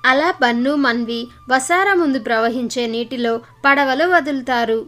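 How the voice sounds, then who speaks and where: A woman's voice: high voice, normal speed, fairly bright tone. A woman reading scripted narration for a children's story as its narrator.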